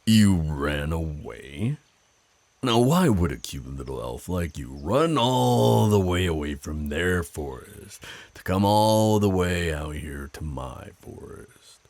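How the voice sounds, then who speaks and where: An extremely deep, husky werewolf, acted by a man for an ASMR roleplay. He is burly and territorial, drawling his teasing threats slowly and possessively. The sound is close and dry. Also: very animated, a little mellow, dry recording, full character voice. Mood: threatening. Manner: slow, drawling, taunting and possessive.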